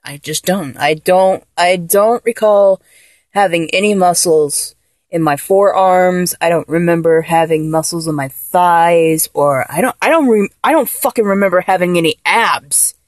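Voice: mid-range voice, unhurried, dry recording, close to an everyday voice. Someone voicing a giddy freshly transformed guy. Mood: rambling. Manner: rambling, stammering, giggly. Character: man just transformed from a woman, giddy, awkward. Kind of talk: solo audio roleplay.

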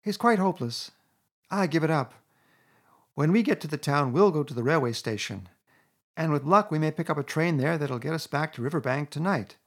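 A man voicing a stern, sensible male water rat who talks firmly and with exasperation, in a husky voice. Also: fairly high pitch, lively intonation, voice pushed up. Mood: exasperated. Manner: firm, brisk, exasperated.

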